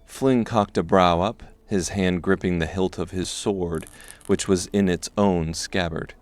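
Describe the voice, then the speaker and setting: A man narrating a scripted dark fantasy audiobook. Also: deep voice, steady tone, unhurried, a little hiss or hum.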